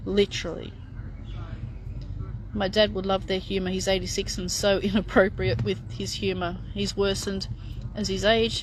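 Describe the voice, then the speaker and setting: A middle-aged woman chatting frankly as she answers viewer questions, with lots of fillers and restarts. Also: mid-range voice. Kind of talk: casual live Q&A.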